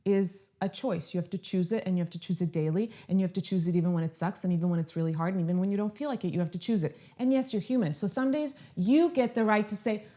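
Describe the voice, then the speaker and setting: A chatty woman in her thirties from Croatia hosts a casual live stream. She sounds upbeat and talks in a rambling, friendly way, with restarts and side remarks. Her voice sits at an ordinary middle pitch. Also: fast talker, few pauses, dark tone. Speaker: lifestyle video host.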